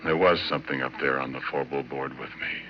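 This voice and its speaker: A man's voice: a bass voice, steady tone, fairly quick, background noise. An actor playing a working-class oil rigger in an old radio horror drama, telling his tale in slangy, colloquial speech. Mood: grim recounting. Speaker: narrator and lead actor. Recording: dull vintage radio recording with music.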